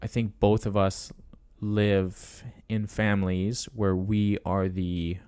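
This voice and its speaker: A man's voice: fairly low pitch, level tone, slow pace, a little mellow, clean tone, no room sound, studio quality. A man in his twenties hosting a casual fitness podcast, chatty and laughing as he tells stories. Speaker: podcast host and coach.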